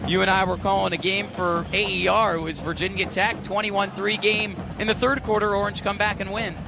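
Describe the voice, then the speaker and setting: A young man works as a sports announcer, his voice pitched high. He delivers the action in an energetic, emphatic play-by-play style, with clearly audible music in the recording. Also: a little fast. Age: young adult. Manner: animated, punchy, emphatic delivery. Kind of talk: energetic sports commentary and highlight narration.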